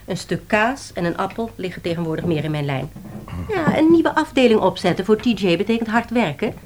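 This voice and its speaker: An actress in a Dutch radio play, playing a businesslike woman publisher who coaxes an author with brisk insistence. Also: medium pitch, expressive, a little mellow, a little hiss or hum, close to an everyday voice. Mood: matter-of-fact.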